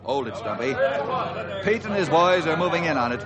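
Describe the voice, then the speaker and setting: A man acting as a decisive American ranger chief in a radio adventure serial. His slightly high voice carries authority, with clipped, commanding phrasing. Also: expressive, fairly quick, over a noisy crowd, close to an everyday voice. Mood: urgent.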